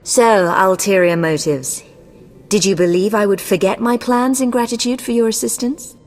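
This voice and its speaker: An actress playing an imperious, scheming sorceress in a video game, speaking in a formal, cutting manner. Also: female voice, medium pitch, moderate pace, natural voice. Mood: cool, haughty.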